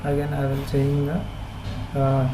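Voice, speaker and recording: A man with an Indian accent giving a halting, step-by-step software tutorial as an instructor, with repetitive instructions. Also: medium pitch, monotone. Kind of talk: step-by-step screen tutorial narration. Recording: noisy, echoey room recording.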